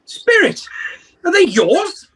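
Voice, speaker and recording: A man's voice: very high voice, wildly swooping pitch, takes it slowly, sharp tone, full character voice. A man playing an elderly, humbled miser with formal, theatrical delivery in an amateur radio play. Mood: uneasy. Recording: noisy amateur video call audio.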